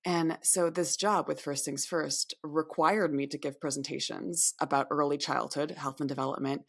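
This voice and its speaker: Low, gravelly-voiced woman guest. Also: steady tone, normal speed. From upstate New York. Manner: candid, reflective, with repeated restarts. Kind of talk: podcast interview.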